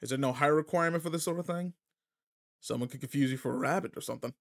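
A man's voice: fairly high pitch, very fast, gravelly. A man playing a cocky, irritable teenage delinquent in a roleplay audio drama, talking casually and teasingly straight to the listener. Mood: irritated, teasing.

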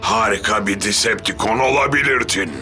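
A man voicing an arrogant, menacing giant robot warlord in a dubbed cartoon, with an imperious, gloating manner and loud music behind him. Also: fairly low pitch, normal speed. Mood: gloating menace. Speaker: voice actor in a dubbed animated series. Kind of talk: dramatic cartoon dub acting.

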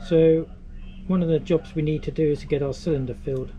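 A man's voice: fairly high pitch, steady tone, fairly quick, mellow, lo-fi sound, a little hiss or hum. A man in his sixties casually explaining chores on his catamaran in a vlog. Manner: chatty, explains tasks while working. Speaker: sailing vlogger. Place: on a catamaran and ashore, outdoors.

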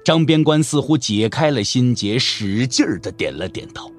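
A man narrating a martial-arts audio drama in a measured, expressive, scripted storytelling style. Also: mid-range voice. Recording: music under the voice. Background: background music.

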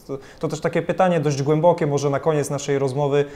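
A young man poses long, winding questions, stumbling and restarting phrases as he searches for words. His voice sits at an ordinary pitch and comes across close on a lapel mic in a studio. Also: steady tone, warm tone. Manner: rambling, halting questions with many restarts. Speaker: interviewer. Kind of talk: interview questions.

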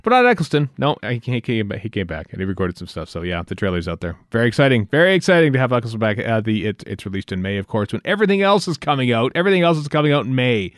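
A man talks casually as a podcast co-host, rambling and thinking out loud. His voice sits at an average pitch and is recorded very dry, with little room sound. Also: very animated, racing, few pauses, no room sound. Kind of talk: casual chat.

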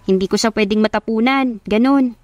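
A woman's voice: on the high side, a little fast, a little mellow, no room sound, close to an everyday voice. A woman with a Filipino accent voicing a young working woman in an audio romance, easily flustered, defensive and sassy. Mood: sassy, dismissive.

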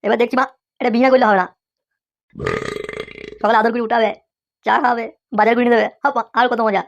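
Woman doing a whiny lazy cartoon boy, really high voice, talks super fast. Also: fairly even intonation, highs cut off, lightly acted. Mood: boastful, grumbling. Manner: pleading, whiny, peppered with exclamations. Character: lazy whiny schoolboy cartoon rascal dreaming of marriage. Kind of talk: comic cartoon dialogue.

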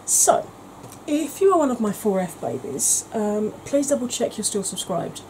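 A woman's voice: on the high side, big pitch swings, normal speed, a little hiss or hum, poor audio. A woman, chatting casually and wandering off topic in a home makeup vlog. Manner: rambling, self-mocking, soft-spoken at times. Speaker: makeup vlogger. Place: indoor home room, kitchen.

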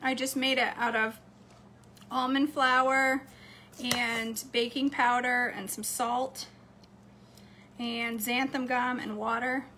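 A woman, chatting casually to her viewers from a home kitchen, slightly hoarse. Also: on the high side, level tone, slow pace, pauses often, sharp tone, slight background noise. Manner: chatty, upbeat, talks straight to camera. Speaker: cooking vlogger. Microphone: phone camera close up on a counter.

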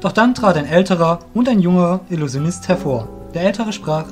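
A man's voice: high voice, animated, fairly quick. A man reads scripted story narration for an audio drama. He acts as narrator, telling the events in prose. Faint plucked guitar music plays behind him.

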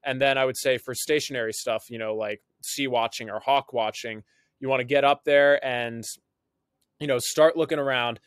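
A man's voice: medium pitch, normal speed, smooth, no room sound. Young guy explaining stuff on a video call, loose and chatty, dry close sound. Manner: relaxed, loose, lots of fillers. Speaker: birding podcast co-host. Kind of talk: casual explanatory chat. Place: living room with framed pictures. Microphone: video call webcam.

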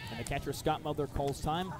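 A man's voice: a little high, brisk. A man doing live baseball radio play-by-play in short, descriptive phrases. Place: outdoor ballpark broadcast position.

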